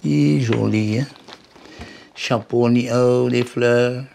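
An older man, chatting casually indoors in short, quiet answers. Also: mid-range voice, fairly even intonation, takes it slowly, gravelly, slight background noise. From French Polynesia. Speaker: interviewee. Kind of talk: casual chat. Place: indoors in a home living room.

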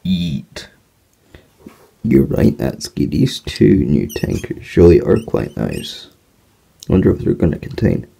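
A man's voice: low-pitched, sing-song, a little slow, gravelly, long pauses, slightly rough. A young man voicing an amateur toy train story, talking in a casual, offhand way with internet slang. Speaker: toy train video narrator. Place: small indoor room. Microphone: phone camera held close. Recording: loud background noise, home phone recording. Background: loud noise and clicks.

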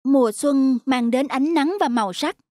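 A woman voicing a gentle snowdrop flower mother in a children's cartoon, with soft, nurturing, resigned delivery. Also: sits high, normal speed, no room sound, studio quality, natural voice. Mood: wistful, resigned.

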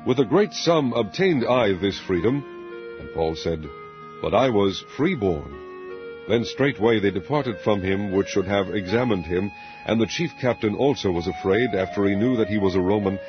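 Male scripture narrator, lowish pitch, grave and deliberate, dramatic reading style; dull lo-fi sound. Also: expressive. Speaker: Bible narrator. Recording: dull lo-fi audio with music underneath. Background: background music.

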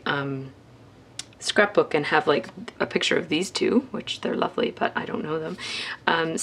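A hoarse woman chatting through a scrapbooking project at her desk, with lots of ums, restarts and throat clearing. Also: fairly low pitch, a little hiss or hum. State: hoarse from a lingering illness. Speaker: scrapbooking video host. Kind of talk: casual craft process narration. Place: indoor craft desk. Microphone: overhead camera on a craft table.

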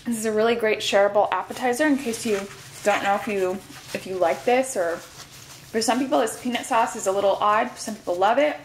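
A woman giving a relaxed, chatty food review at her kitchen counter, with some fillers. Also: a little high, fairly quick, slight background noise, rough recording. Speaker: food vlogger. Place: home kitchen counter, indoors.

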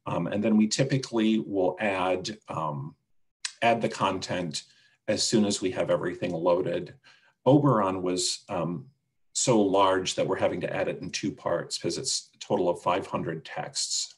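Low, gravelly man presenting a webinar. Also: unhurried. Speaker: product presenter from a publisher. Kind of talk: webinar demonstration and Q&A. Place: indoors on a video call. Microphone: video call microphone.

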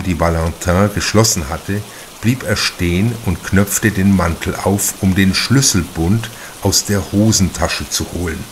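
A man reading a crime novel as an audiobook narrator, in a measured, deliberate manner with careful pauses. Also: deep voice, slight background noise.

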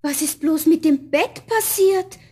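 A woman's voice: very high-pitched, a little slow, warm tone. An actress playing a kind, modest poor young girl in a fairy-tale audio play, earnest and artless. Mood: amazed.

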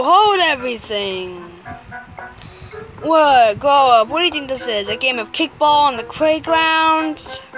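A woman with a high, bright voice acts out cartoon characters in an amateur comic dub. Her delivery is slow, and music plays under the voice. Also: low-quality recording.

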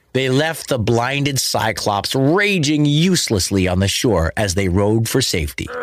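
A man's voice: mid-range voice, no room sound. A man narrating a scripted myth for children in a dramatic, playful manner. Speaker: storyteller, host.

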